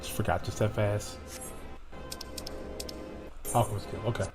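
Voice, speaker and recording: A man's voice: lowish voice, steady tone, unhurried, music in the background. A man streaming a game at home, bantering and joking with his chat. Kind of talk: casual gaming commentary.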